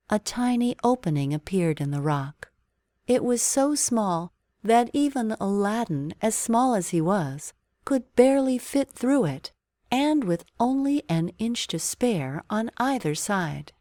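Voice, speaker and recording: A woman reading a children's bedtime story as an expressive storyteller. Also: lowish voice, no echo, studio quality.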